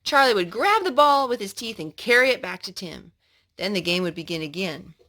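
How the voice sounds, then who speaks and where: Animated woman reading a storybook aloud. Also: medium pitch. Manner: animated, child-directed, pausing to explain and ask questions.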